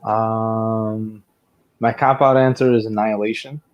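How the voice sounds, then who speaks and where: Dude cohosting a podcast, low-ish voice, jokey and emphatic, just chatting. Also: male voice, little pitch movement, a little slow, lo-fi sound. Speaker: podcast co-host. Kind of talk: casual chat.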